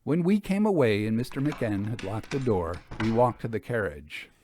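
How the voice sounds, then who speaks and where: A man reading a Victorian ghost story as scripted narration, in a measured storytelling delivery. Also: lowish voice, expressive, warm tone, slight background noise. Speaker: audiobook reader.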